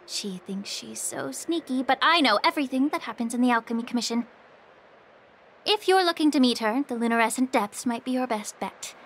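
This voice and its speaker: A woman playing a shrewd, poised healer in an anime game, polished and gently commanding. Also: on the high side, expressive, a little fast, slight background noise. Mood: wry.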